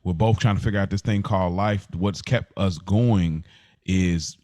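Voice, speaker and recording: A man's voice: sits low, moderate pace, mellow, no echo. A man speaking casually and earnestly in informal slang, telling personal stories as a podcast co-host. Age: adult.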